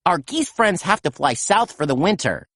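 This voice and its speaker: A woman voicing a confident young boy who leads a team of rescue pups, calm and encouraging as he gives orders. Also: on the low side, moderate pace, no echo, clean studio sound.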